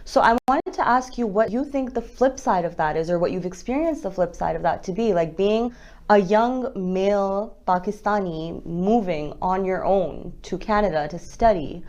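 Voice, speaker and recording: A woman's voice: mid-range voice, normal speed, darkish tone, dull audio. A woman hosting a conversational interview, talking in a wordy, circling way as she works toward her questions. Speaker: interview host.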